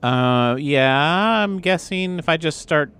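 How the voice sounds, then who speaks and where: A man playing a tabletop role-playing game, chatting casually and thinking his choices through out loud. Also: on the high side, animated, takes it slowly, clean tone. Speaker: tabletop role-play player.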